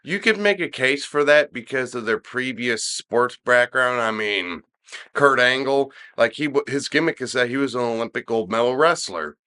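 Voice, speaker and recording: A man's voice: mid-range voice, animated, moderate pace, bright, forceful tone, clean tone. A middle-aged man with a slightly nasal, congested voice, chatting casually as a podcast host in a rambling, opinionated, colloquial way. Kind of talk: casual wrestling chat.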